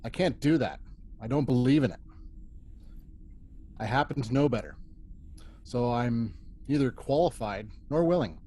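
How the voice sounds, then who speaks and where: A man's voice: medium pitch, lively intonation, unhurried, pauses often, warm tone, slight background noise, natural voice. A man playing a loyal, earnest starship first officer in a table read, speaking emphatically. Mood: defiant. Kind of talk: amateur table read of a sci-fi script.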